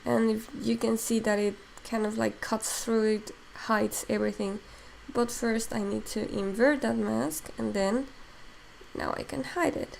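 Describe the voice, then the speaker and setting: A woman from Mexico whose first language is Spanish talks her way through a digital sculpting demo, thinking aloud with plenty of fillers. Her voice sits a little high and has a gravelly fry to it. Faint background noise runs under the stream. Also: steady tone, a little slow, rough recording. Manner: thinks aloud while working, many fillers. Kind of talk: casual live-stream software demo.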